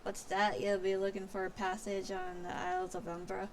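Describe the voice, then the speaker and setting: A woman voicing a gruff, hard-of-hearing old dockside overseer in salty dialect for a game, with clipped words. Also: medium pitch, very animated, moderate pace, slight background noise. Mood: blustery, gruff. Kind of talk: game dialogue read aloud.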